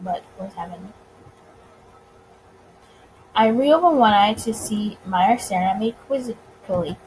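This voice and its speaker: A woman reading a visual novel aloud as a let's player, expressively and with dramatic pauses. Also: mid-range voice, lively intonation, a little mellow, slight background noise, rough recording. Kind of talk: expressive story reading with reactions.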